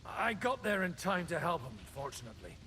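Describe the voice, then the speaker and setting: An actor playing a sober, cautious medieval nobleman in a video game, with an expressive, solemn delivery. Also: a little high, brisk, a little hiss or hum. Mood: serious, cautioning. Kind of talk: dramatic video game dialogue.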